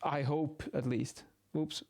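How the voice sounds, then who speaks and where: A man's voice: fairly high pitch, little pitch movement, slow, a little mellow. A man giving an informal technical lesson, thinking aloud and correcting himself as he explains. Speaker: acoustics instructor explaining measurement methods. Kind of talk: informal explanatory lesson, improvised while sketching.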